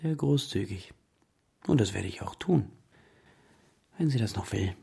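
A man playing a tired composer father, gruff but tender, with dry, laconic delivery in a German radio play for children. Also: fairly low pitch, animated, unhurried, darkish tone, heavily acted. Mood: wry.

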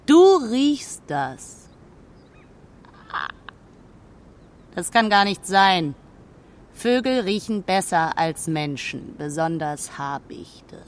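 A woman's voice: lowish voice, lively intonation, slow. A woman playing a haughty, aloof female hawk in a children's radio play, speaking in a brusque, proud way. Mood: haughty, dismissive.

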